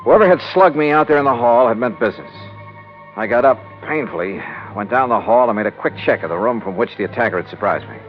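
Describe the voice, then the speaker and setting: A brisk, wry private investigator, played by a man tells his own case in the manner of 1950s radio drama. His pitch moves in a lively way as he talks. The sound is muffled and lo-fi, with clear music playing underneath. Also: lightly acted. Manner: brisk, wry first-person storytelling. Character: hard-boiled male insurance investigator. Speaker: narrator-detective.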